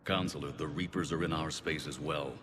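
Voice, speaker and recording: A man playing a grave, weary alien councilor in a video game, measured, formal and authoritative. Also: extremely low pitch, steady tone. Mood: grave, doubting. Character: grave alien male councilor, weary but pragmatic statesman. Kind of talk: dramatic video game acting. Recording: echoing hall, clear background noise.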